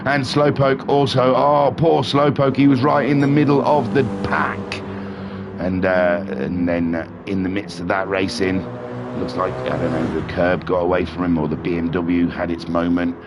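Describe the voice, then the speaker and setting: A man with a head cold and a nasal voice, excitedly commentating a sim race with jokey, rambling asides. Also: sits low, moderate pace, very noisy. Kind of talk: live sim racing commentary.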